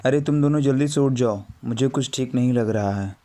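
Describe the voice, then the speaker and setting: A man's voice: mid-range voice, fairly quick, warm tone, a little hiss or hum, lightly acted. A man voicing an anxious, questioning male traveller in a horror cartoon. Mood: alarmed.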